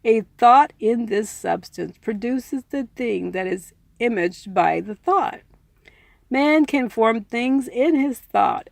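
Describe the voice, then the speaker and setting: A woman's voice: fairly high pitch, creaky, no room sound. A woman reading a book aloud earnestly, in an informal audiobook style with personal asides. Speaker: reader and commentator.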